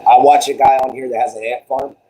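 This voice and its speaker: A man's voice: level tone, fast, dark tone, slight background noise, rough recording. A man, chatting casually and rambling on a live stream from a phone propped on a table at home. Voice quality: booming voice. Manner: laid-back, rambling, apologetic. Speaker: livestreamer. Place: home room with kids and dogs.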